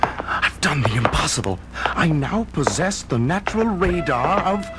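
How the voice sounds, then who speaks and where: Obsessive, wildly swooping scientist. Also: male voice, on the high side, very animated, measured pace. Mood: exultant. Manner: melodramatic, declamatory. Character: excitable, obsessive male scientist turning into bat creature. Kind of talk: 1970s audio comic-book radio acting. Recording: 1970s record with very loud music. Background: very loud music.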